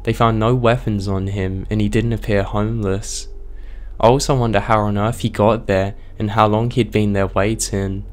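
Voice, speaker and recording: A man with a strained, hoarse voice, reading true horror stories aloud as the host of his channel at a steady pace. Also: lowish voice, moderate pace. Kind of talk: scripted horror story narration.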